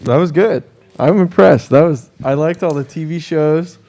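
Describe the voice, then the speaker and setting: A man's voice: on the high side, moderate pace, dark tone. A man hosting a radio show in casual, unscripted chat, with informal and hesitant phrasing. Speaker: host. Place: radio studio.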